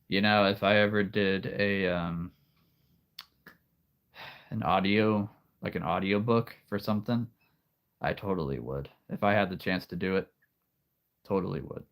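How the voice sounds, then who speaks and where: A man hosting a live stream in a relaxed, chatty way, with a very deep, soothing storyteller voice and frequent throat clearing from allergies. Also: unhurried, pauses often. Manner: soothing, calm storyteller delivery; rambling, with frequent restarts and soft laughs. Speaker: livestream host. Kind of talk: casual live-stream chat.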